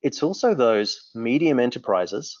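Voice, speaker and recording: A man's voice: medium pitch, big pitch swings, measured pace, no room sound. A man in his forties with a New Zealand accent, answering interview questions with enthusiasm and lots of restarts. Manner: enthusiastic, rambling with frequent restarts. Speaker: company founder. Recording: dry, muffled lo-fi audio.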